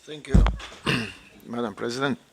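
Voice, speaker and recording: Slow, gravelly man. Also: medium pitch, a little hiss or hum, poor audio. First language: Croatian. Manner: halting, with throat-clearing. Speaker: meeting delegate.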